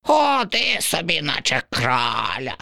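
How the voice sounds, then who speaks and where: A man's voice: fairly high pitch, huge pitch swings, vocal fry, bright, forceful tone, dry recording, studio quality, voice pushed up, heavily acted. A man voicing a restless, dramatic female crow who draws out her words and pouts. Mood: rapturous. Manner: drawn-out, grimacing, huffy.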